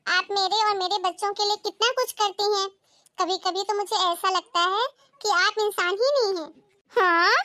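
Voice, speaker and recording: A woman voicing scheming, gushing women of a family in an animated domestic story. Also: extremely high pitch, no echo, studio quality. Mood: fawning, bossy. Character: mother-in-law and her flattering younger daughter-in-law, scheming.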